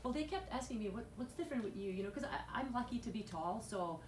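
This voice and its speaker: A woman's voice: fairly high pitch, lively intonation, quick pace, darkish tone. A woman in her thirties from Bosnia, a guest chatting candidly on a radio show in a studio, with lots of fillers and restarts. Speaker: weight loss client guest. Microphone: boom mic, seen from afar. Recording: echoey studio, far camera mic, noisy.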